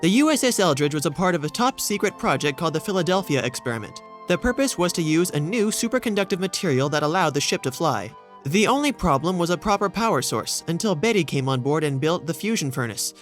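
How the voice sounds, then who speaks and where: Man playing a high-voiced, earnest young reporter. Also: big pitch swings, fast, soft background music. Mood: nervously earnest. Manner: hesitant, earnest. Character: timid, polite young male news reporter. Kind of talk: audio drama acting.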